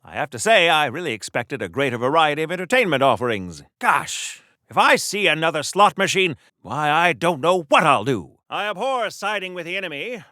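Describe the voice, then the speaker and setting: An actor playing a pompous, chipper American superhero of the wartime era, in a campy, grandiose 1940s radio-serial style for a video game. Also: male voice, fairly high pitch, sharp tone, no echo, studio quality. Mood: fussy, exasperated. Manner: grandiose, old-fashioned, wordy, theatrical.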